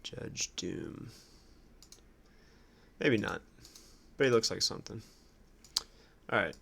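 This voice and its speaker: A man doing casual political reaction commentary, sardonic, incredulous and quick to swear. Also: lowish voice, lively intonation, gravelly, a bit bright, a little hiss or hum. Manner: sardonic, incredulous, foul-mouthed. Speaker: streamer commenting on news clips.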